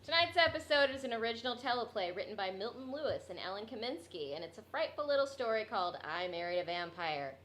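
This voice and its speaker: A woman playing a deadpan, unsympathetic co-worker in a comedy radio sketch. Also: fairly high pitch, fast, hardly any pauses, slight background noise, poor audio.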